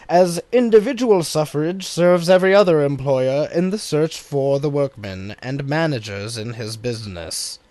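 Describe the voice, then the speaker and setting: A man reads a political book aloud for an audiobook, his voice a touch higher than most men's. His delivery is measured and emphatic, leaning hard on key phrases. The sound is dry and close, with no room around it. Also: a little mellow, no echo. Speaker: audiobook reader. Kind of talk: reading a political text aloud.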